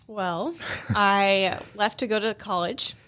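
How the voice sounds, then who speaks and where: A woman's voice: mid-range voice, takes it slowly, no echo. A young woman from Minnesota, raised in Georgia, with a mix of Midwestern and Southern accent, chatting as a radio interview guest and telling stories with easy laughs. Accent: mixed Midwestern and Southern US. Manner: chatty, animated storyteller who laughs easily. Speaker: guest, hospitality and events manager.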